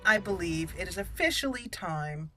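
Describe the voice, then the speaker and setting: A woman hosting a gaming channel, giving casual, playful let's play commentary in an excitable, chatty way. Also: fairly low pitch, normal speed. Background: game music under the voice.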